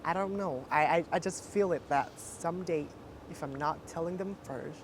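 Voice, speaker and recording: A woman in her twenties from Thailand, with a deep voice, chatting casually in an interview with many fillers and frequent laughs. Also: moderate pace, a little hiss or hum. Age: 20s.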